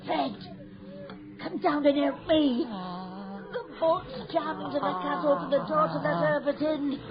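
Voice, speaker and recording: A woman's voice: high-pitched, fairly even intonation, measured pace, a crowd in the background. A woman playing a grieving mother desperate for her dead son, theatrical and pleading, in an old-time radio horror drama. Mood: frantic. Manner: theatrical, pleading, swinging from hushed to frantic. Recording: muffled old radio recording with music behind.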